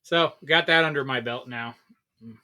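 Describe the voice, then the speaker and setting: A man's voice: mid-range voice, moderate pace, pushed and bright. A man co-hosting a podcast, talking in a rambling, enthusiastic way and swearing casually. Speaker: podcast co-host.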